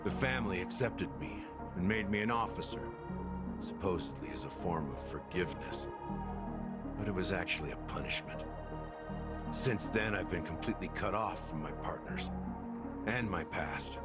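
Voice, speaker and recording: Weary, guilt-ridden game officer, low-pitched, rueful and self-deprecating, prominent music underneath. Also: male voice, lively intonation, normal speed. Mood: regretful, confessing. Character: weary, world-worn male officer haunted by guilt and regret. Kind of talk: video game story dialogue. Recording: music behind the voice.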